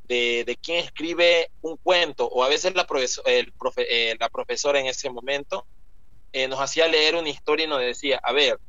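A man's voice: mid-range voice, moderate pace, pushed and bright, dry recording. Young man, muffled rambling talk. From Ecuador. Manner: rambling, with many fillers and restarts. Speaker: guest author. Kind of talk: casual interview talk. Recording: muffled lo-fi sound.

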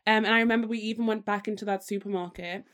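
A young woman co-hosting a podcast, moving between teasing, lively chat and scripted narration. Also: mid-range voice, racing. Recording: clear music bed underneath.

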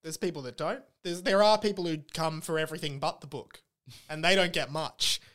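A man's voice: fairly high pitch, steady tone, fairly quick, fairly bright tone. A man with an Australian accent in casual, comedic conversation as a podcast co-host. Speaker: podcast co-host and author of the book.

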